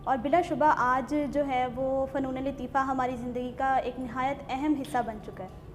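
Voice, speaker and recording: A woman with a Pakistani accent hosting a talk show, speaking in formal, measured literary phrases. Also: sits high. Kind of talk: formal presenting and interviewing.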